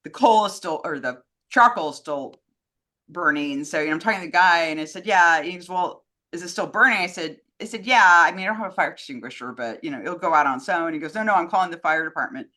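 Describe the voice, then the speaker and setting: American woman co-host, bright forward voice, super informal storytelling chat. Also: fairly low pitch, fast. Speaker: co-host fielding fan questions. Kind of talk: casual anecdotal chat.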